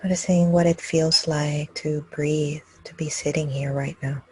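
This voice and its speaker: Female meditation guide, calm and level in tone, slightly breathy rasp, close dry sound. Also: low voice, no echo. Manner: calm, unhurried, with long pauses between phrases. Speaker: meditation teacher leading a live group session. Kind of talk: guided meditation, slow and gentle instruction.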